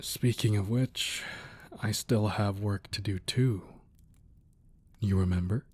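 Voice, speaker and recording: Man acting a low, breathy crime boss husband. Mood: wry, affectionate. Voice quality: soft, breathy, hushed voice. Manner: slow, deliberate, tender murmuring with pauses. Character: protective, romantic crime boss husband, tender yet menacing. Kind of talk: intimate ASMR roleplay acting.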